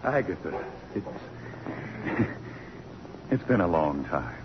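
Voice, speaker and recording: An actor playing a courtly, wistful middle-aged college president in 1950s radio drama style, gentle and hesitant. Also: male voice, low voice, big pitch swings, slow pace, exaggerated voice. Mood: awkward, tender. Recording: dull, noisy vintage radio recording.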